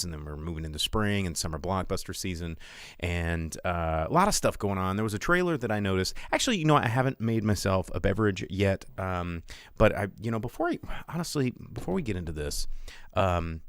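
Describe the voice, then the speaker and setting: A man's voice: lowish voice, dry recording, studio quality. An American man hosting a casual live stream podcast, chatting in a rambling, informal way that often interrupts itself. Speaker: podcast host.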